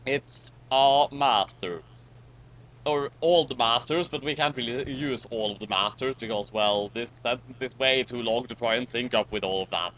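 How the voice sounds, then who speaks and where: A man's voice: mid-range voice, moderate pace, hardly any pauses, sharp tone, a little hiss or hum, poor audio. A man hosting casual group commentary on a story, talking in a dry, sarcastic way. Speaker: commentary host.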